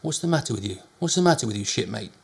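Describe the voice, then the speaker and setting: A man's voice: on the low side, very animated, creaky, slightly rough, full character voice. A man voicing a fussy, bossy middle-aged landlady who scolds sharply. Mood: scolding. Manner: scolding, brisk.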